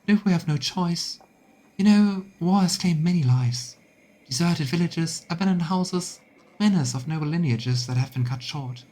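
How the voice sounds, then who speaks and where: A man's voice: on the high side, normal speed, warm tone, pitched above the performer's own voice, close to an everyday voice, poor audio. A man voicing a timid, earnest, conscientious council member in a fantasy game, speaking hesitantly. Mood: hesitant dismay.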